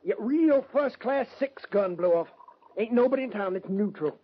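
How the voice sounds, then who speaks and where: A man playing a talkative, folksy frontier local in an old-time radio western, with husky, rustic speech. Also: high voice, expressive, moderate pace. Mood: chatty, eager. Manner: colloquial, chatty rustic talk. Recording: dull old radio recording.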